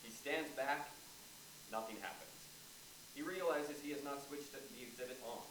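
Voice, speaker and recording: A man's voice: mid-range voice, moderate pace, a bit bright. Man narrating, distant in an echoey room. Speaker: stage directions narrator. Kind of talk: scripted narration, read aloud. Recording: echoey noisy room, far from mic.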